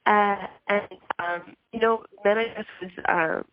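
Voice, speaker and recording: Hoarse, gravelly woman, shy and halting. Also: mid-range voice, steady tone, dry recording, muffled recording. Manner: shy, halting, giggly, many fillers. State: hoarse from a throat issue. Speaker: musician guest. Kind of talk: casual interview answers.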